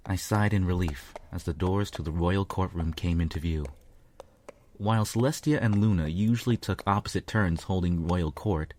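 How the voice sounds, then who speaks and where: A man narrating a story in the first person with a measured, earnest, dramatic delivery. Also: low voice, darkish tone, slight background noise.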